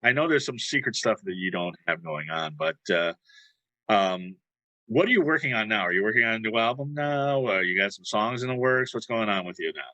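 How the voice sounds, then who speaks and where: Male host, rambling and teasing, very bright tense tone, dry close mic. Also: fairly low pitch, animated, brisk, no room sound, poor audio. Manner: rambling, repeats words, teasing. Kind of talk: casual interview chat.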